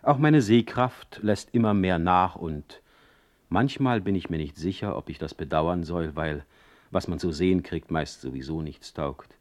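An actor playing a witty, sardonic, ailing middle-aged poet in a radio play, speaking with eloquent, mocking irony. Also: male voice, sits low, measured pace. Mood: wry, resigned. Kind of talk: radio play acting with letter narration.

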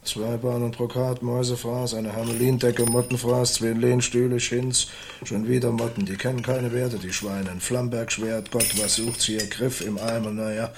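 A man plays a jaded old crusader in a radio play. He grumbles coarsely in a creaky, flat voice, with faint noise behind him. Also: medium pitch, normal speed, close to an everyday voice. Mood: grumbling, disgusted. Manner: muttering lists, grumbling and swearing. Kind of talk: literary radio play acting.

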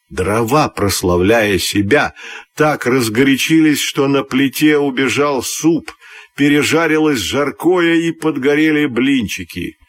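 A man narrating a scripted fairy tale for children's radio, telling it expressively and deliberately. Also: mid-range voice. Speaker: storyteller.

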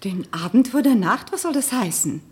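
A woman's voice: a little high, moderate pace, slightly rough. An actress playing a noblewoman hostess questioning her old friend in a radio drama. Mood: puzzled. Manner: lively, questioning. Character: warm, curious noblewoman hostess.

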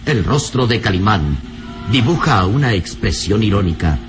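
A man's voice: on the low side, lively intonation, moderate pace, lightly acted. A man delivering florid, dramatic scripted narration as the narrator of an old radio serial. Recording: old radio recording over music. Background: music.

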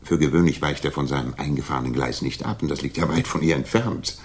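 Casting: sharp-minded Victorian detective, restless and theatrical, deep voice with rough grain. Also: male voice, fast. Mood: explaining, dry. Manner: theatrical, incisive, articulate. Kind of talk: 1960s radio drama acting.

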